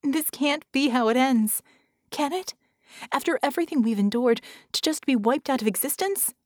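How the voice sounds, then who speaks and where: A woman voicing a desperate, frustrated heroine in an audiobook, emphatic, shrill and pleading. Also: expressive, moderate pace, darkish tone, dry recording, clean studio sound. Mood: despairing. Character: desperate, frustrated young heroine, screaming in dismay.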